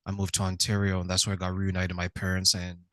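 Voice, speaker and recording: A man from Quebec, Canada, answering as a relationship coach in a conversational podcast interview, explaining things in a reflective, measured way. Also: sits low, monotone, fairly bright tone, no room sound, studio quality.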